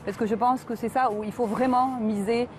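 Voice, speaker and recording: A middle-aged woman from Lebanon, a guest on a television talk show, answering earnestly and pausing often with hesitations. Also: on the high side, steady tone, darkish tone, slight background noise. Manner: earnest, many hesitations with euh. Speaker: environmental activist guest.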